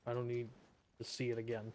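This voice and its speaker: A woman streamer giving casual, offhand game commentary in a halting, amused way. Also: extremely low pitch, completely flat delivery, a little mellow, slight background noise.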